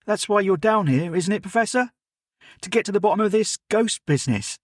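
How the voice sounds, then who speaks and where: Keen, humble servant lad, bright and high, a man narrator putting it on, dry close mic. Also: animated, fairly quick, no room sound. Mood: eager. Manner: earnest, deferential, keen. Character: eager humble young male servant boy.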